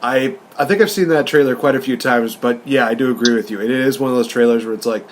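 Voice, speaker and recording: A man's voice: mid-range voice, fast talker, slight background noise. A man co-hosting a movie review show in a casual, conversational style.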